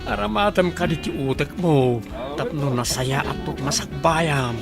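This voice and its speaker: A woman's voice: deep voice, a little slow, vocal fry, music in the background. A woman playing a cunning, bossy accomplice in a radio drama.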